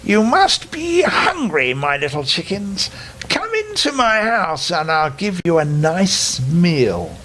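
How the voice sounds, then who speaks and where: Old wicked storybook witch played by a man: high-pitched, falsely sweet, crooning, swooping. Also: huge pitch swings, sharp tone, full character voice. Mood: sweetly coaxing. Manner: coaxing, drawn-out crooning.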